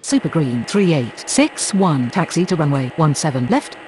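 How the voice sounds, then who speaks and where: A woman's voice: sits low, big pitch swings, normal speed. A woman speaking clipped, scripted aviation radio phraseology in an air traffic control game.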